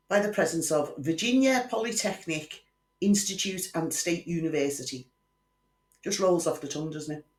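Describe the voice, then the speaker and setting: A man hosting a true crime channel, telling a case in scripted narration with wry conversational asides to the viewer. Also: a little high. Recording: echoey room.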